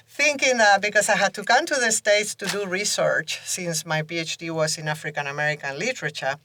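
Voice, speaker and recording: A woman's voice: lowish voice, bright, forceful tone, no echo. A woman in her fifties from southern Spain with a Spanish accent, chatting casually as a guest in an interview. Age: 50s. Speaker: podcast guest, professor.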